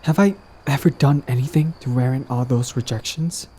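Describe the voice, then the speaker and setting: Man acting as a mellow, lovestruck, coaxing villain. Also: mid-range voice, normal speed, a little hiss or hum, close to an everyday voice. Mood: earnest, defensive. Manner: casual, teasing, coaxing. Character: lovestruck, persistent young male villain, smug yet earnest. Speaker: voice actor in romantic audio roleplay. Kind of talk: intimate scripted roleplay addressed to the listener.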